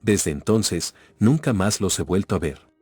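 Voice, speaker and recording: A man narrating scripted horror stories in a measured, ominous storytelling manner. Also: low voice, expressive, darkish tone, slightly rough, no echo. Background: background music.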